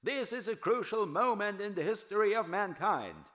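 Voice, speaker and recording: A man voicing a domineering factory chairman in an audiobook, declaiming loudly and pompously over a rowdy meeting. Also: high voice, animated, normal speed, voice pushed up. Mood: pompous, commanding. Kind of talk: dramatized audiobook oratory.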